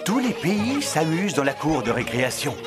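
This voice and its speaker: A man's voice: fairly high pitch, big pitch swings, moderate pace, loud music behind the voice. A man narrating a children's cartoon as a friendly, matter-of-fact storyteller.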